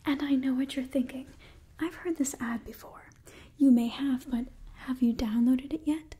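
A woman in her twenties giving an enthusiastic ASMR sponsor read in a soft, breathy whisper, close to the microphone. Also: high-pitched, steady tone, normal speed. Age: 20s. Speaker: ASMR creator and host.

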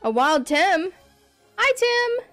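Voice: very high-pitched, sing-song, takes it slowly, fairly bright tone, soft background music. A young adult streamer chatting casually over gameplay in their bedroom, rambling and laughing often. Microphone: desk microphone.

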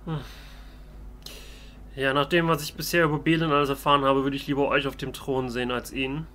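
A man's voice: medium pitch, flat delivery, a little fast, fairly bright tone. A man doing improvised in-character let's play commentary, with casual, hesitant phrasing. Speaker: let's player.

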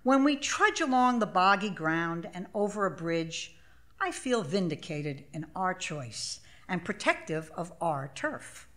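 A woman in her seventies reading her own essay aloud at a live event, measured and expressive with a wry delivery. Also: on the low side. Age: 70s. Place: small theater stage, live event. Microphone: stage microphone.

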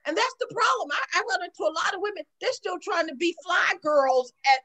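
A woman in her sixties with a high voice, talking over a video call from home. She speaks emphatically, like a sermon, and keeps checking in with "okay?". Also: lively intonation, brisk, bright, forceful tone. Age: 60s. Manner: preachy, emphatic, repeats "okay?". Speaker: guest. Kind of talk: casual chat on a video call. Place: home room.

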